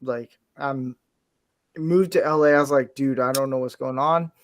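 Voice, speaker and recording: A man from the American Midwest with an American accent, chatting casually and telling anecdotes in an animated way as a podcast host. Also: mid-range voice, normal speed. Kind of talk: casual anecdotal group chat.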